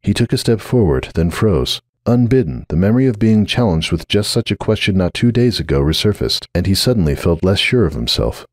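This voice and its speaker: A man's voice: sits low, big pitch swings, brisk, few pauses, no echo, clean studio sound. A man reading scripted dramatic story narration.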